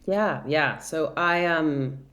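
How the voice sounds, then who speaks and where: A woman's voice: low-pitched, slow, fairly bright tone. A woman in her thirties, from Brazil, with an American accent, speaking as an interview guest and answering at length with frequent ums and restarts. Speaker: guest, product director.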